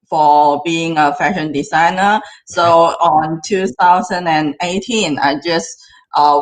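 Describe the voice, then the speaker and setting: A woman's voice: sits low, rough recording. A young woman with a Chinese accent, a native Cantonese speaker, answering interview questions in a hesitant way with many restarts. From southern China. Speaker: guest filmmaker.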